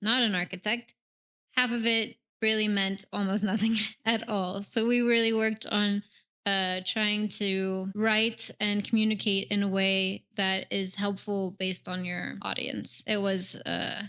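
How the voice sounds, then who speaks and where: A woman's voice: medium pitch, level tone, unhurried, bright, forceful tone, clear, resonant tone. A young woman, a native English speaker, hosting a casual interview in a chatty, reflective way full of "like" and "you know". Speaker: interviewer host.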